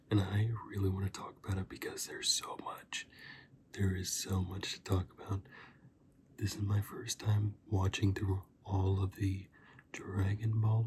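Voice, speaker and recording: A man speaks softly in a low, breathy near-whisper, as a casual ASMR reviewer. His delivery is very slow and flat, circling back on phrases for emphasis. Noticeable noise sits behind the voice. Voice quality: soft, breathy, often whispered. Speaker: video host and reviewer.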